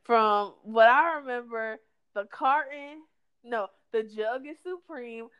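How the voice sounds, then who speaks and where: Bright, smooth-voiced woman co-host. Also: a little high, slow pace, highs cut off. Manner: lively, exclamatory reactions and slang. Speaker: podcast co-host. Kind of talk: casual chat.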